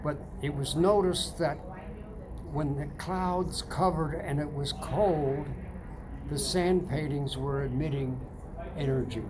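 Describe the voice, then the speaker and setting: Slow-talking old man, halting. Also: a little high, noisy background. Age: older. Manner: halting, many fillers and restarts. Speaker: sauna customer. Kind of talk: casual testimonial chat. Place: indoors, seated in a sauna.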